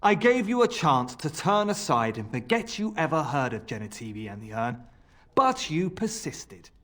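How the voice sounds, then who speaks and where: High-voiced, deceitful zealot man. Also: sing-song, moderate pace, a bit bright. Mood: fervent, menacing. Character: man posing as a scholar's assistant, deceitful, fanatical zealot. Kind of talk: dramatic video game acting.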